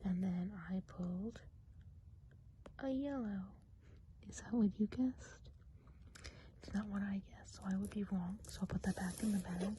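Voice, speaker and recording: Woman role-playing a kindly Christmas witch, soft breathy whisper, medium pitch, gentle hesitant chattiness, ASMR, audible background noise with clicks. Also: steady tone, normal speed, long pauses, close to an everyday voice, poor audio. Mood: playful. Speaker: ASMR role-play host.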